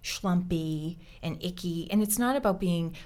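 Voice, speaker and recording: A woman's voice: on the low side, unhurried, darkish tone. A woman with a Boston-area accent, hosting a podcast and chatting in a reflective, storytelling way. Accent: Boston-area American. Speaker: podcast host. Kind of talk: casual chat.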